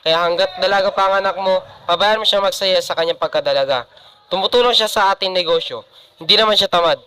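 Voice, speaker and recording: A man's voice: sits high, fast, pushed and bright, clear, resonant tone. A man playing an indulgent, protective middle-aged father in an amateur radio drama, with a Filipino accent. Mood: defensive, scolding. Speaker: student radio drama actor.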